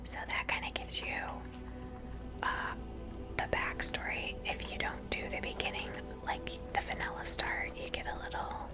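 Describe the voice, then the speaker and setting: An ASMR host in an unvoiced, breathy whisper, casually thinking aloud while playing through a game, unhurried and close to the microphone. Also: over music. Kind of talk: whispered ASMR game playthrough, unscripted commentary.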